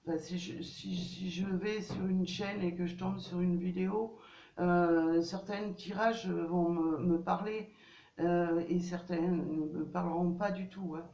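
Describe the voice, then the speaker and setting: A woman's voice: fairly low pitch, steady tone, unhurried, dark tone. A woman with a cold giving a casual tarot card reading, hesitant and full of fillers and restarts. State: has a cold. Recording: distant, dull, roomy home recording.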